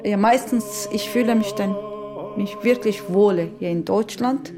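Woman over clear music. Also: medium pitch, steady tone, unhurried. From Turkey. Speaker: interviewee in a radio feature. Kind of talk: personal reflection.

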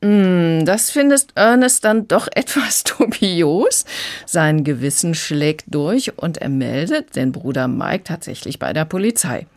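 A woman's voice: fairly low pitch, sing-song, unhurried. A woman reviewing a book on the radio, summarising the story in a prepared, conversational way. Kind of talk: prepared plot summary in a two-person review.

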